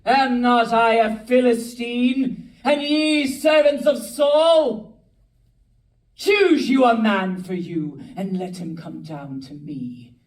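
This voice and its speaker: A woman with a deep, strong voice giving a dramatic reading and telling a story, swinging from quiet to big and commanding. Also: slow pace, recorded from a distance, low-quality recording. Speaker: theatre director giving a reading.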